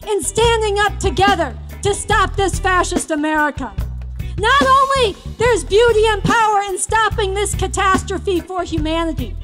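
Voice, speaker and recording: A woman giving a rousing, declamatory speech built on repeated refrains. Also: very high voice. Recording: music under the speech. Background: music.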